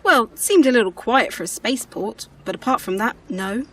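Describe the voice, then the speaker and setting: Woman as a high-voiced, breezy companion. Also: animated, a little fast, a bit bright, recorded from a distance. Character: upbeat, unworried female travelling companion.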